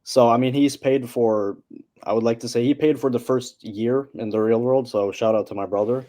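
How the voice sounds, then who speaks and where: A man's voice: medium pitch, fairly even intonation, moderate pace, mellow. A teenage boy from Norway, chatting as an interview guest and hesitating with many fillers. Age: teens. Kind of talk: casual interview chat.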